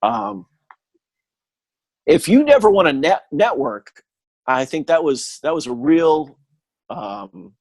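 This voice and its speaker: A middle-aged man telling stories in a casual chat, animated and full of jokey asides. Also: a little high, slow pace, gravelly, long pauses, no echo. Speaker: guest. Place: living in Texas.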